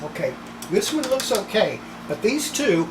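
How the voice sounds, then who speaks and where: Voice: medium pitch, sing-song, a little slow, reverberant, noisy background. An older person narrating hands-on repair work in a casual, folksy way, often muttering to themselves in a small workshop. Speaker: radio restorer presenting own repair. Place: small cluttered indoor electronics workshop.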